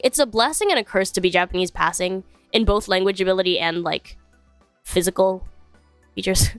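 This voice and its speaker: A chatty young woman talks casually to her viewers, her voice a little congested from a cold but still smooth and clear. She is close to a dry microphone, with soft music playing underneath. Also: mid-range voice, no room sound. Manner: chatty, drifts between topics, frequent fillers. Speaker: streamer. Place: home. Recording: dry close mic, faint music behind.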